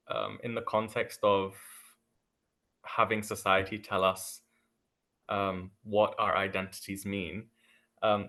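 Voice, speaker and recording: Young man, low voice, thoughtful. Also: bright, forceful tone. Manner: thoughtful, measured, frequent ums. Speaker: panel guest. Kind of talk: casual panel discussion.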